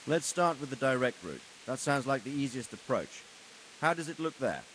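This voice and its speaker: An actor playing an authoritative medieval lord and military commander in a video game cutscene, speaking deliberately. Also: male voice, mid-range voice, big pitch swings, a bit bright, a little hiss or hum, rough recording. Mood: stern, assessing. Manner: authoritative, measured, questioning. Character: commanding, deliberate medieval nobleman leading soldiers.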